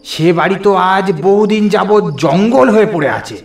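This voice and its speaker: A curious, doubting village station master for a horror cartoon. The voice is high for a man, in an echoey sound with faint music. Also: lively intonation, unhurried. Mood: inquisitive, wary. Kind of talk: Bengali horror cartoon voice acting.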